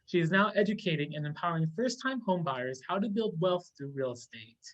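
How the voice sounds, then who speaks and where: A man's voice: a little high, animated, a little fast, muffled recording. Man reading a webinar speaker introduction. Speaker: webinar host.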